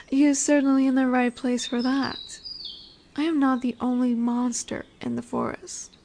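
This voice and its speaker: Woman voicing a slow, high-voiced seductive serpent woman. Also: fairly even intonation, a little mellow. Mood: intrigued, teasing. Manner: slow, hypnotic, coaxing, formal. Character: lamia, large seductive serpent woman, commanding yet soothing. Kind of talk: roleplay audio drama with soft whispered passages. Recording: noisy woodland ambience with birdsong. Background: birdsong and forest ambience.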